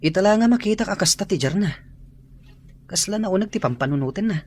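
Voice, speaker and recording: A woman's voice: mid-range voice, lively intonation, fast talker, a little mellow, slight background noise, lightly acted. A woman playing a joking, easygoing teenage schoolboy in a radio drama. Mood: curious.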